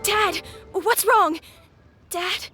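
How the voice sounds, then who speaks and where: Worried small girl in a game, sharp bright high voice. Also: drawn-out words, heavily acted. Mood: frightened. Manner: childlike, anxious. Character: anxious young girl fearing for her sick father. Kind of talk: video game character dialogue. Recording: soft game music behind.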